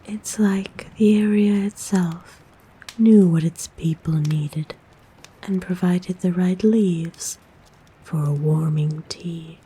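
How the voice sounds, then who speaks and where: A woman voicing a kind, gentle forest witch in a soft, breathy whisper, soothing and tender, for an ASMR roleplay. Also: lowish voice, steady tone, slow, exaggerated voice, poor audio. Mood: fond, nostalgic.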